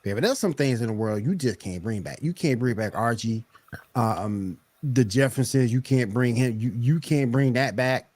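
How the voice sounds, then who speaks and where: A man's voice: medium pitch, moderate pace, darkish tone. A man hosting a casual podcast chat, laid-back and fond of repeating a phrase for laughs. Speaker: podcast host.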